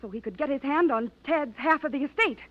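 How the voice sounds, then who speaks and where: An actress playing Helen, a wealthy young woman who is sharp, suspicious and accusing, in a 1940s radio drama. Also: sits high, a little fast, lightly acted. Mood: distraught. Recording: dull vintage radio recording.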